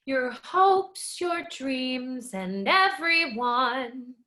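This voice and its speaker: An actress playing a darkly comic chorus figure in a stage fable, delivering verse in a theatrical musical style. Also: female voice, sits high, sing-song, drawn-out words, pushed and bright, faint music behind, heavily acted. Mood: dark, mocking.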